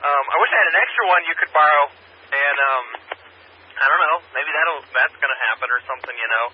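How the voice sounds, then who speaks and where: A man chatting casually over a two-way ham radio, talking in a rambling, halting way. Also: fairly high pitch. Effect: two-way radio transmission. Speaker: amateur radio operator. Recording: muffled, noisy radio repeater picked up by scanner.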